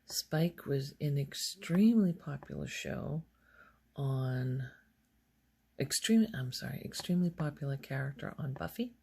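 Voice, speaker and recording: A woman speaking softly in casual ASMR style, often slipping toward a whisper, halting as she thinks aloud. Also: sits low, lively intonation, creaky, husky. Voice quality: soft, often breaking into whisper. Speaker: ASMR video host. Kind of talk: soft-spoken ASMR, casual rambling show-and-tell.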